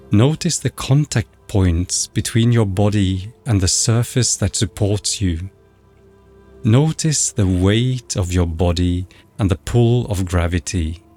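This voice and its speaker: A man narrating a guided meditation in a measured, instructional, scripted way. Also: on the low side, a little mellow. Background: background music.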